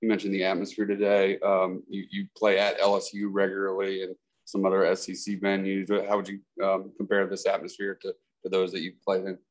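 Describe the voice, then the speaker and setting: Very monotone male sports reporter, quick. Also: low-pitched. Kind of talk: press conference questioning.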